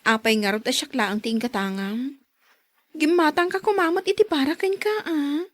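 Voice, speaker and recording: A woman's voice: high-pitched, moderate pace, no echo, studio quality, natural voice. A woman acting an affectionate, thrifty young wife who is easily surprised, in an Ilocano-accented radio drama. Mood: gentle, chiding.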